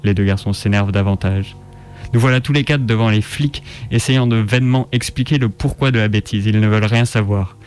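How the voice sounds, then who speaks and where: A man's voice: on the low side, a little fast, a little mellow. A man reading a first-person story as dramatic scripted narration. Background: music.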